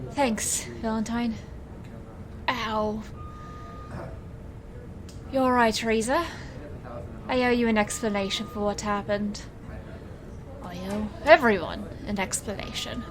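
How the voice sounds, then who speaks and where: A woman's voice: on the high side, fairly even intonation, slow, long pauses. A woman playing a weary, sardonic stage magician and capable assistant in an audio drama, with dry delivery. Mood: apologetic, pained. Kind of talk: audio drama character acting. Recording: faint noise.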